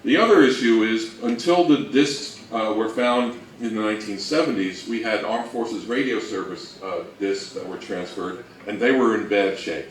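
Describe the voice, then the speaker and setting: Low-voiced man presenting in an echoey room. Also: moderate pace, few pauses. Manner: conversational, explanatory, poses rhetorical questions. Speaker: co-presenter and old-time radio researcher. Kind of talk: informal slide presentation talk. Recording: echoey room, distant mic, faint noise.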